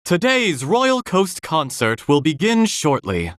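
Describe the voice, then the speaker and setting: A man's voice: on the high side, huge pitch swings, slow, clean studio sound, full character voice. A male actor playing a courteous concert hall attendant in a video game, politely and formally announcing.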